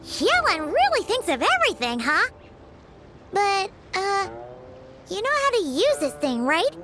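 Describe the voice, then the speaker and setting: An extremely high, squeaky little fairy, chattering and exclaiming with childlike excitement. The delivery swoops all over in pitch. It is a video game companion voice. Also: female voice, measured pace, heavy background noise, heavily acted. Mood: curious. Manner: exclamatory, refers to self in third person.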